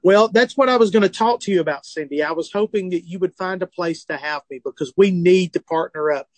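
Southern comedian in his forties, lightly high voice, dry close sound, animated and earnest storytelling. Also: a little fast, dry recording, rough recording. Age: 40s. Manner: animated, earnest, self-deprecating humor. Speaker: comedian and author, guest. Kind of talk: phone or remote interview, candid storytelling. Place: tour bus.

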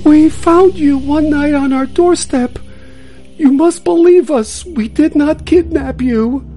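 A woman voicing a devoted wife and mother who pleads in a high, desperate voice. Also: takes it slowly, mellow, faint music behind. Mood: pleading.

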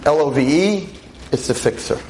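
A veteran teacher in his sixties lecturing an audience on a microphone, with a loud voice, emphatic and full of rhetorical questions. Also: a little high, expressive, moderate pace, slight background noise, low-quality recording. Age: 60s. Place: talk in front of an audience. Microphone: handheld or stand mic.

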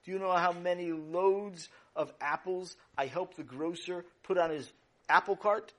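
Weary whining boy, soft and drawn out, as a male storyteller puts him on. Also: high-pitched, unhurried. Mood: whiny. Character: tired whiny young boy.

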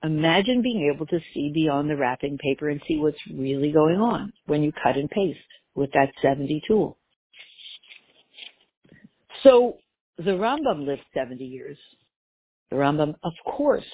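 A woman's voice: low-pitched, lively intonation, a little slow, long pauses, breathy edge, no echo. A woman giving a religious class, explaining with rhetorical questions and everyday examples. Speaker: Torah teacher. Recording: tinny phone-like line.

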